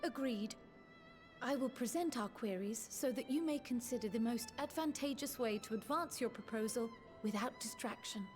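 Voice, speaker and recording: A woman's voice: a little high, moderate pace, a little mellow, faint music behind, lightly acted. An actress playing a precise, composed female official in a video game.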